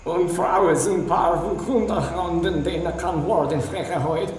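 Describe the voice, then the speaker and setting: Man as mysterious ghost villain, raised pitch, cavernous echo, comic adventure story. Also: a little slow, darkish tone, slight background noise. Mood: conspiratorial. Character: mysterious villain man muttering in foreign-sounding ghost language. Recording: very echoey, distant, faint ambience.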